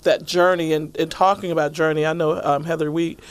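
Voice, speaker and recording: A woman's voice: deep voice, level tone, fairly quick, smooth. A woman hosting a podcast, reading a scripted intro and then chatting with a guest in an upbeat, enthusiastic way. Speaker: podcast host.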